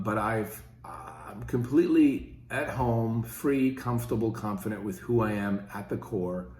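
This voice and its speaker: A man's voice: lowish voice, reverberant. A fifty-year-old man from Switzerland, talking casually and rambling with many fillers in his own opinion video. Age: 50s. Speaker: podcast host.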